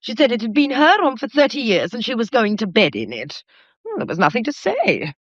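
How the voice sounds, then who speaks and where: A woman plays a fretful wife in a period mystery drama. She is melodramatic and accusing, with a raised pitch that swings widely. The sound is dry and close. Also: very animated, a little fast, no room sound, highs cut off. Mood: accusing, aggrieved. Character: fretful, nervy wife in a troubled household. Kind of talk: period radio drama acting.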